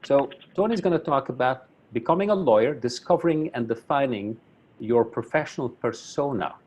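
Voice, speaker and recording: Man, friendly halting introduction. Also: medium pitch, lively intonation, a little mellow. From Belgium. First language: Flemish. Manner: friendly, self-deprecating, halting with repeats. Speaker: academic council chairman introducing a speaker. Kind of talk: webinar introduction. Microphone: video call.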